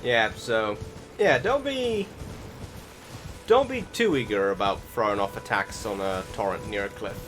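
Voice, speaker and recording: A man's voice: fairly low pitch, lively intonation, unhurried, a bit bright, slight background noise. A man giving casual voiced-over commentary on his video game playthrough, rambling along in a self-deprecating way. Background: music behind the voice.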